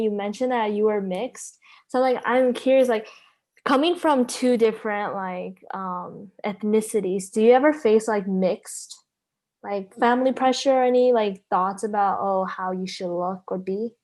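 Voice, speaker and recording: A woman's voice: medium pitch, moderate pace, darkish tone. A teenage girl co-hosting a podcast, talking casually with many "like" and "um" fillers. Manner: hesitant, heavy "like" and "um" fillers. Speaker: podcast co-host. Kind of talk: casual chat.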